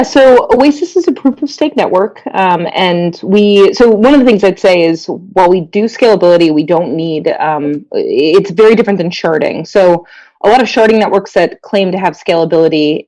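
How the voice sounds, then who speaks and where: A woman's voice: on the low side, normal speed, dark tone, dry recording, rough recording. A woman from the United States speaking as a panel guest, explaining things with frequent fillers. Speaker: panel guest, chief operating officer. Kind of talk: online panel discussion.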